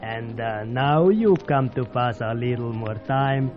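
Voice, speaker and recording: An actor playing a courtly, guarded South American cabaret owner in a radio serial, formal and hesitant, with a Latin American accent. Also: male voice, mid-range voice, unhurried, lightly acted. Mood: smooth, insinuating. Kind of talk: old-time radio serial acting. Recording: muffled radio serial with clear music.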